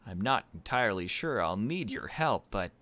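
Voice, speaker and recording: A man plays a young female amateur reporter in a visual novel game. The character sounds brisk and curious, out for personal gain. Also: on the low side, sing-song, bright, forceful tone, no echo.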